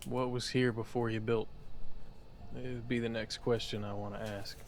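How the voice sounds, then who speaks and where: Gravelly male player, mid pitch, loose and brash. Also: little pitch movement, normal speed, slight background noise. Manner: casual, brash. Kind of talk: tabletop roleplay chat.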